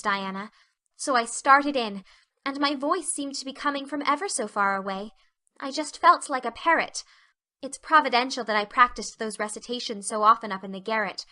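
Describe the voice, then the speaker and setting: A woman's voice: a little high, sharp tone. A woman voicing a dreamy thirteen-year-old girl, effusive, romantic and theatrical, in an audio drama.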